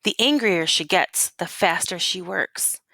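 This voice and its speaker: A woman teacher reading a picture book aloud expressively, with deliberate emphasis on key words and questions for young pupils. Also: on the low side, creaky, bright, forceful tone, dry recording.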